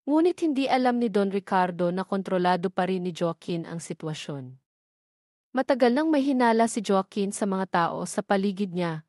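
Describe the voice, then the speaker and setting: A woman with a Filipino accent narrates a scripted romance audio drama. Her voice sits at a medium pitch. The recording is close and dry, with little room sound. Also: a little mellow, no room sound. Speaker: story narrator.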